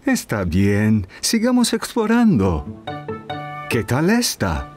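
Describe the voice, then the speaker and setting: Patient, very animated cartoon dad. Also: male voice, mid-range voice, slow, soft background music. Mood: encouraging. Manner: gentle, encouraging, sing-song explaining. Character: patient, reassuring, playful father of small children. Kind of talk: children's cartoon dubbing.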